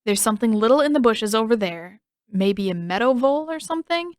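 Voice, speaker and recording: A woman's voice: on the high side, lively intonation, a little fast, dry recording, clean studio sound, lightly acted. Woman as an earnest young boy, slightly raised voice. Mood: concentrating. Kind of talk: character voice in a fan fiction reading.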